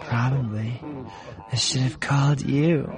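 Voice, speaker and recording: A man voicing a slightly drunk woman in an audiobook, with a soft, lightened delivery. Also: mid-range voice, fairly even intonation, measured pace, over music, voice pushed up, lightly acted. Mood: curious, flirtatious. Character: woman, slightly drunk, chatty then vexed. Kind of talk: audiobook character acting.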